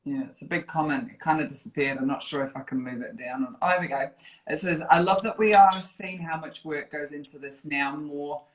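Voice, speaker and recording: A woman with a northern English accent softened by years in New Zealand, chatting casually and teasingly on a live stream as she reads out viewer comments. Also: low voice, brisk. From Yorkshire, northern England. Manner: playful, teasing. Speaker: fitness program director, interview guest. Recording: roomy, echoey live online video chat.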